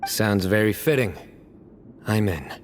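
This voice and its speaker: A man playing a stoic, determined young warrior in a video game, deadpan and composed. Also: fairly low pitch, a little slow, slight background noise. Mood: calm, determined.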